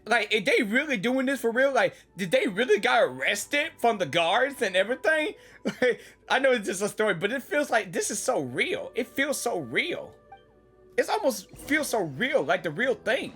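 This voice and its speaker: A gaming host giving casual live reactions in an excitable, playful, repetitive way. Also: medium pitch, moderate pace, slightly rough, faint music behind. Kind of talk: casual live game commentary.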